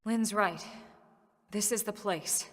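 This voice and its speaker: A woman playing a composed, resolute military team leader in a video game, firm and measured. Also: mid-range voice, a bit bright. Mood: grave. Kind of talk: dramatic video game cutscene acting. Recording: long reverb, music behind.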